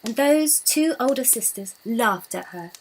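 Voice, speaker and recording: A woman reads a children's folktale aloud. Her voice is on the high side, and she tells it expressively, with deliberate, dramatic delivery. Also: expressive, a little hiss or hum, poor audio. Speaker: children's storybook reader. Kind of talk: expressive scripted storytelling.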